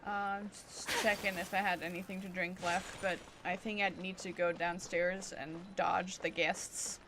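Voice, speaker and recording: A woman's voice: lowish voice, flat delivery, measured pace, background noise. A woman game streamer giving casual, playful live commentary with silly little asides. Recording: game music behind the voice. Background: video game music.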